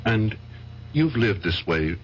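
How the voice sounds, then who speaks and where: A man's voice: on the low side, sing-song, slow. An actor playing an attentive male doctor who asks short, probing questions in old-time radio drama style. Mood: curious, surprised. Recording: muffled, noisy old radio broadcast.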